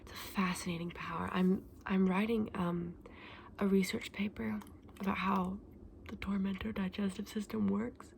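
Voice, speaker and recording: A woman's voice: medium pitch, level tone, moderate pace, slight background noise, close to an everyday voice. Woman playing a whispering, scholarly dragon egg seller. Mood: soft, fascinated. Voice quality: breathy, mostly whispered. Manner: hesitant, explanatory, earnest. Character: gentle, scholarly woman selling dragon eggs. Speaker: ASMR roleplay performer. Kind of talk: soft-spoken, whispered ASMR fantasy roleplay.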